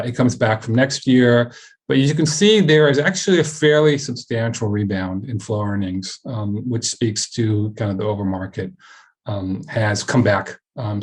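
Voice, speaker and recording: A man's voice: lowish voice, a little mellow. A man speaking as a company chairman on a web earnings call, giving a semi-scripted business presentation and often repeating words and restarting.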